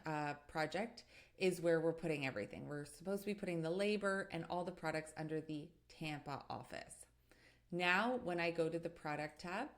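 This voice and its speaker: A woman narrating a step-by-step software tutorial in a methodical, explanatory way as an instructor. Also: lowish voice.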